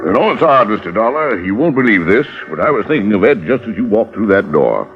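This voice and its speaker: A candid, hesitant tea merchant with a low voice that rises and falls widely. He muses conversationally in old radio drama style, on a slightly dull recording. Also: sing-song, a little fast. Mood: affable. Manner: conversational, musing, hedging. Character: candid, hesitant male tea buyer abroad. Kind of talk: 1940s-50s radio drama acting.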